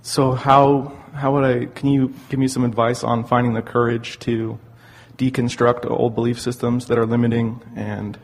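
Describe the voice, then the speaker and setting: A man asking an earnest personal question as an audience member, speaking in a hesitant, halting way. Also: medium pitch, flat delivery.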